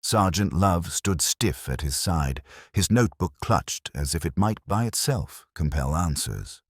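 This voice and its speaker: A man narrating a literary mystery in a measured, dramatic storytelling manner. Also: low voice, lively intonation, no room sound, clean studio sound.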